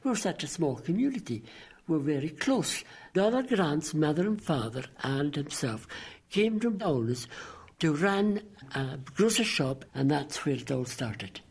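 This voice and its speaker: A man in his late eighties with a Scottish Highland accent, recalling the past in conversation and repeating phrases for emphasis. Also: big pitch swings, unhurried, creaky, husky. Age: late 80s. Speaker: local resident interviewee. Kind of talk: recollection in conversation.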